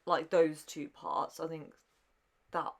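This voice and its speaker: A woman with a fairly low voice talks casually through her reactions. She thinks aloud, hesitating and circling back as she shapes her opinion. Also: flat delivery, slow pace. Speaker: reaction show host, newcomer to the series.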